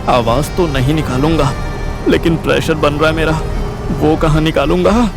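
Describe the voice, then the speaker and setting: A man's voice: a little high, steady tone, normal speed, vocal fry, over music, voice pushed up. A man voicing a cheeky, whiny young comic sidekick in a Hindi audio drama. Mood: whiny, teasing.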